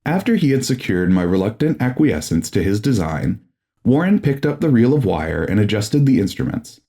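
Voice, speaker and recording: A man's voice: fairly low pitch, sing-song, normal speed, warm tone. A man narrating a gothic horror audiobook in a solemn, formal and dramatic manner.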